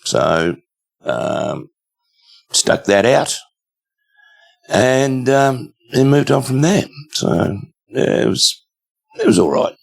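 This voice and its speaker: Gravelly old Australian man. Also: mid-range voice, sing-song, faint music behind. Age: 70s. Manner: unhurried, rambling with many fillers. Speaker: guest. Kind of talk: casual storytelling interview.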